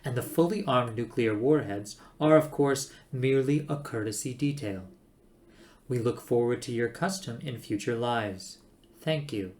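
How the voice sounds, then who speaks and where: A man's voice: medium pitch, measured pace, darkish tone, close to an everyday voice. A man voicing an old recorded announcer from an ancient planet, formal and coldly polite, with a hollow, reedy tone.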